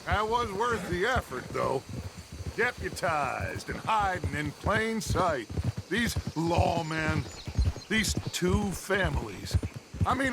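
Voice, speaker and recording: A theatrical, high-pitched outlaw gang boss. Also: male voice, noisy background. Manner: theatrical, grandiose, expressive. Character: charismatic, boastful, aging leader of a Wild West outlaw gang. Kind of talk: video game drama acting.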